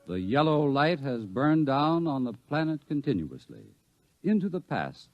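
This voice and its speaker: A man narrating a radio drama in a dramatic, scripted storytelling style. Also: mid-range voice. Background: faint music.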